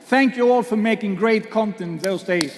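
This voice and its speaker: A man hosting an awards ceremony, joking with the audience in a halting way with many fillers, speaking English that is not his first language. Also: sits high, very noisy. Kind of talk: speech to an audience. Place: large hall. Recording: long hall reverb.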